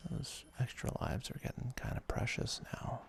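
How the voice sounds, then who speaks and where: A man's voice: normal speed, a little hiss or hum. A man whispering ASMR commentary as he hosts a video game let's play. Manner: soft, breathy whispering.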